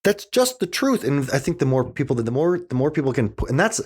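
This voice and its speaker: A man's voice: mid-range voice, very animated, a little fast, husky. A man in his forties from Canada, a podcast guest talking in a casual, emphatic way, full of restarts and "you know". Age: 40s. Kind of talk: casual conversation. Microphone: desk mic he keeps knocking.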